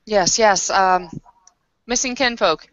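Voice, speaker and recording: Female roleplayer, mid-range pitch, casual chatty dialogue, dry, slightly dull recording. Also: slow pace, fairly bright tone, dry recording. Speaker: tabletop roleplaying player. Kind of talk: casual in-character game dialogue.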